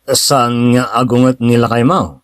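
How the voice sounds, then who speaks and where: A man's voice: mid-range voice, steady tone, unhurried, natural voice. A man acting an earnest, talkative and insistent nephew in an Ilocano drama. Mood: friendly, chatty.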